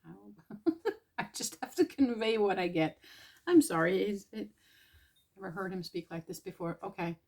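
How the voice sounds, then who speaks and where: A woman from Norway, channeling spiritual messages in a casual vlog. She speaks softly and tentatively, pausing often, with an irritated throat she keeps clearing. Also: mid-range voice, expressive.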